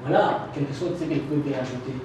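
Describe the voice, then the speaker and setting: A man's voice: medium pitch, fast. A man making an earnest, impromptu contribution to a public debate, often posing rhetorical questions. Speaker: audience contributor at a conference. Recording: very echoey room, distant mic, faint noise.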